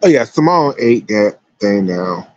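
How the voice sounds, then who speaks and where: A man's voice: lowish voice, very animated, a little slow, no room sound, lo-fi sound. An American man giving casual, chatty sports commentary as a livestream host, emphatic and slangy.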